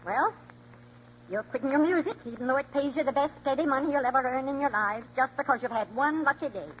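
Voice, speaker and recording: Stern high-voiced mother played by an actress, old radio. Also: fast talker, natural voice. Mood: reproachful, firm. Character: stern, exasperated mother who raised three boys. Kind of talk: 1940s radio acting. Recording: muffled vintage radio, faint noise.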